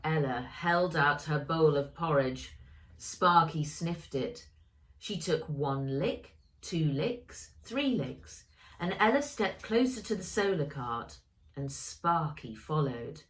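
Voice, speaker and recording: A woman author reading her children's story aloud in an expressive storytelling style. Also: low-pitched, takes it slowly.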